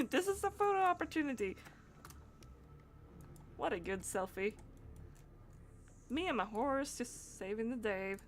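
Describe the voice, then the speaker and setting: A woman's voice: fairly high pitch, lively intonation, measured pace, pauses often. A woman doing casual live game commentary, rambling and giggly as she reacts aloud to what happens on screen. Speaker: game streamer.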